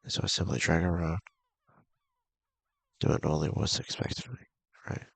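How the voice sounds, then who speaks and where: A man with a gravelly, husky voice casually reading a visual novel aloud, halting and mumbling with offhand asides. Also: sits low, steady tone, fairly bright tone, no echo. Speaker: video game streamer.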